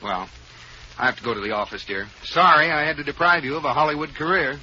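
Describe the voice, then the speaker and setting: A man's voice: a little high, fast talker, bright, forceful tone, slightly rough, exaggerated voice. An actor playing a young husband in a 1940s radio comedy, wry and teasing with his wife. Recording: dull, hissy old radio transcription.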